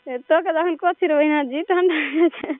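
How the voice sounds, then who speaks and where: A woman's voice: extremely high pitch, steady tone, quick pace, no echo. A woman chatting casually and flirtatiously on a phone call in a rural Bengali dialect. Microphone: telephone. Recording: muffled narrow-band phone call.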